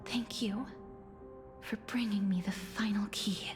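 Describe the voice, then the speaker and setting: A woman playing a gentle, wistful young noblewoman in a video game, speaking softly and formally with a breathy tenderness. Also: medium pitch, fairly even intonation, takes it slowly, close to an everyday voice. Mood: solemn, grateful. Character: gentle, wistful, soft-spoken young noblewoman, quietly earnest. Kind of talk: video game voice acting, reflective monologue. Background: game music.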